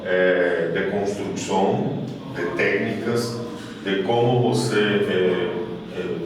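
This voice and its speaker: A man from Cuba giving halting remarks at an art opening, with many fillers and restarts. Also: low-pitched, fairly even intonation. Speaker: exhibition visitor giving remarks. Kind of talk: spoken tribute at an art opening. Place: art gallery. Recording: echoey gallery, distant mic, crowd behind.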